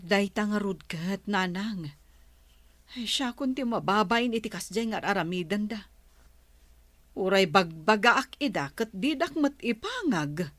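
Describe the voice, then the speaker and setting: A woman's voice: mid-range voice, expressive, measured pace, fairly bright tone, dry recording. A woman playing a weary, patient village mother who pleads with and admonishes her children, in an Ilocano-accented radio drama. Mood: downhearted. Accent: Ilocano, northern Philippines.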